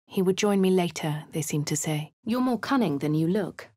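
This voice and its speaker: Posh english adventurer lady, calm and wry, lowish husky voice. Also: normal speed, no echo, clean studio sound. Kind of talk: game character voice lines.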